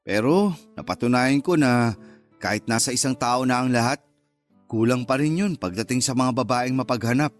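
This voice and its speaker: A man's voice: mid-range voice, moderate pace, soft background music, natural voice. A man playing the wistful, sulky lover of a married woman in a Tagalog radio love drama, casual and understated. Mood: pensive.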